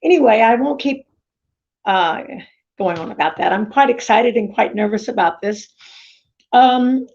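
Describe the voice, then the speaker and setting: A woman's voice: fairly high pitch, unhurried, pauses often, darkish tone. A woman whose first language is Greek, from Florida, telling her life story in a chatty, rambling way on a video call. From United States (Florida). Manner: chatty, rambling, self-interrupting. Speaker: summit speaker. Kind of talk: personal life story talk. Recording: dull lo-fi video call audio.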